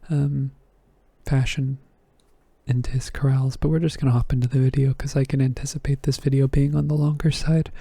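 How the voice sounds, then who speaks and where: A man with a strained, slightly hoarse voice explaining harmony on a score at his desk, thinking aloud and correcting himself. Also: medium pitch, dark tone, no echo. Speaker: music theory teacher. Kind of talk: casual music theory lesson. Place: indoors at a desk.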